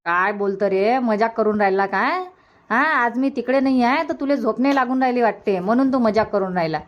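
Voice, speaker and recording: A woman's voice: on the high side, a little fast, dull audio, lightly acted. A woman voicing a grumbling, doubting middle-aged mother in a cartoon comedy, with a Varhadi Marathi accent. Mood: annoyed, disbelieving. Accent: Varhadi Marathi from Vidarbha.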